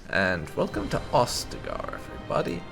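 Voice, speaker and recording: A man narrating a video game playthrough for his channel in a relaxed, explanatory way. He has a low, gravelly voice with a lively rise and fall, and soft music plays underneath. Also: normal speed. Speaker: gaming channel host. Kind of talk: casual game commentary.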